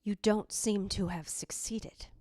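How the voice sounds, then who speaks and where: A woman's voice: medium pitch, steady tone, measured pace, dark tone, whispered, natural voice. A woman playing a stern, snappish sister in an old-time radio ghost drama, curt and sharply emphatic. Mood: cold, composed.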